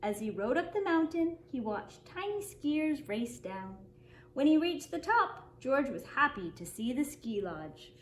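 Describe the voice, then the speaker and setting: A woman with a high voice reads a picture book aloud for young children in a roomy, echoing space. She tells it expressively and deliberately, taking her time like a kindergarten teacher at story time, with faint noise behind her. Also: very animated.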